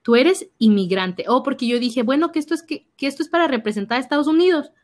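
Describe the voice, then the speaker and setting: Young Mexican woman, youth minister, fairly high voice, animated storyteller acting out her own reactions. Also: quick pace. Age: young adult. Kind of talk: personal testimony in conversation.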